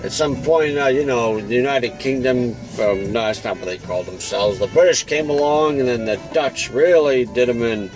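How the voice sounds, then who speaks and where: A man puts on a noir private detective, wisecracking and world-weary. His mid-pitched voice rambles along in offhand slang, grumbling and cutting himself off, with jazz music playing clearly behind him. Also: moderate pace, few pauses, natural voice, poor audio. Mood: rambling, musing. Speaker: narrator and host of a comic monologue. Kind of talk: noir private-eye monologue, improvised rambling. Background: jazz music with saxophone.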